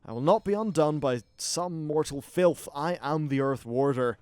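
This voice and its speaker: A man's voice: a little high, normal speed, a little mellow, clear, resonant tone, no room sound, close to an everyday voice. Man as an imperious, menacing ancient dragon villain. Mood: menacing, contemptuous. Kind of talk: video game voice acting.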